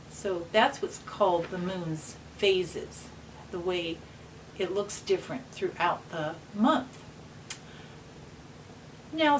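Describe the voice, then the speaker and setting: Slow-paced woman reading to children, distant mic. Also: mid-range voice. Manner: simple, patient phrasing with checking questions. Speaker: read-aloud host for children. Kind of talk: gentle explanatory talk and picture-book read-aloud for young children. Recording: distant mic with faint background noise.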